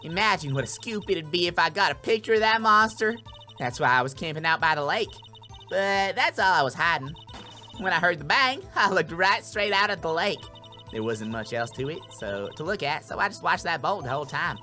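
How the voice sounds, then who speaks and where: Guy doing a cocky southern lady photographer, lively drawl, bright sharp tone, faint music under. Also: a little high, a little fast, voice pushed up. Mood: defiant. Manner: drawling, slangy, boastful. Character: brash Southern woman photographer, cocky, folksy. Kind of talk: video game voice acting.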